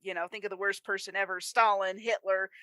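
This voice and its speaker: A woman's voice: mid-range voice, flat delivery, a little fast, pushed and bright, no room sound. A woman co-hosting a podcast, chatting casually about a film in an emphatic way with exasperated asides. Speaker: podcast co-host. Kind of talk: casual chat, film discussion.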